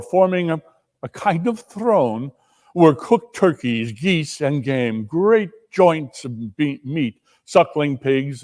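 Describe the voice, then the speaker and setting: Slightly high-voiced male storyteller. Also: a little mellow, big-room sound. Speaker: narrator, dramatic reader. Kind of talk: dramatic storybook narration.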